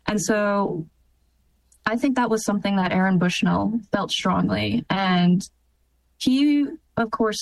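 A young American woman in her twenties giving earnest personal testimony in an interview, in a halting, reflective way. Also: medium pitch, gravelly, no room sound, low-quality recording. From United States. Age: 20s. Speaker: interview guest, military officer.

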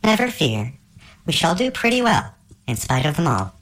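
A tiny female fairy spirit from a storybook, voiced by a man in a very high, sweet little voice. She is cheerful and coaxing, with an arch lilt, and the sound is roomy and echoing. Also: very animated, moderate pace, fairly bright tone. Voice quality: small, sweet voice.

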